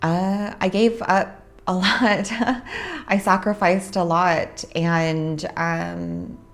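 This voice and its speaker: A young adult woman from Orange County, California, hosting a casual monologue about her spiritual work, unhurried and reflective with frequent pauses. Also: fairly low pitch, flat delivery, gravelly. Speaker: host, spiritual practitioner.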